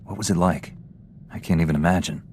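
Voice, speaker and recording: A man's voice: sits low, fairly even intonation, brisk, a little mellow, slight background noise, natural voice. An actor playing a principled young male Jedi knight in a video game, earnest and resolute. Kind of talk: dramatic video game dialogue.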